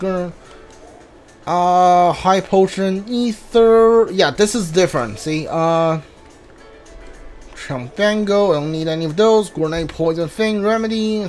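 A game streamer giving casual commentary, thinking aloud haltingly and muttering through lists over game music. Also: medium pitch, a little mellow. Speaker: streamer playing a video game.

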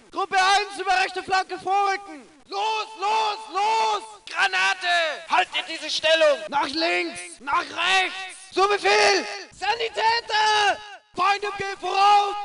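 An actor playing a gruff German World War II infantryman in a video game, barking urgent orders with a smoker's rasp. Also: very high voice, exaggerated voice. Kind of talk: video game combat voice lines.

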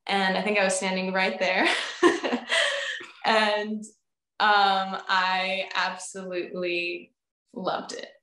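A young woman in her twenties, a singer, being interviewed over a video call from a room at home. She talks in a bubbly, animated way and laughs often. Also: medium pitch, slow pace. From United States, family from Crete. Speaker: guest, recording artist. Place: indoor room at home with instruments on the wall. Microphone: video call, webcam. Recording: roomy video call audio.

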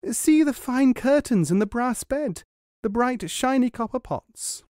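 A demanding wife who is never satisfied scolds in a high, insistent voice, as a man puts her on. The pitch swings widely, and the reading is dry and close. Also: animated, measured pace, darkish tone, dry recording. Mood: eager. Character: greedy, demanding fisherman's wife, never content.

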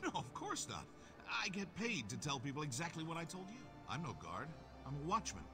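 A man's voice: fairly high pitch, wildly swooping pitch, fairly quick, over music. An actor playing a bored, sarcastic watchman in a video game, blunt and dismissive. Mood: exasperated, dry. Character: bored, sarcastic male watchman blocking a rampart. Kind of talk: video game character acting.

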